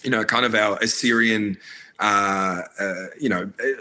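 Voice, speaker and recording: A man's voice: fairly low pitch, steady tone, a little slow, pushed and bright. A man, born in Iraq, talking earnestly as a filmmaker guest in a casual interview, with lots of fillers and restarts. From Iraq, raised in Australia. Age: adult, married.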